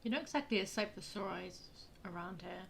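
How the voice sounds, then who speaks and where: A woman's voice: medium pitch, animated, fairly quick. A woman giving casual commentary on a game and reading its text aloud. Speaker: video game player. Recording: clear background noise, game ambience.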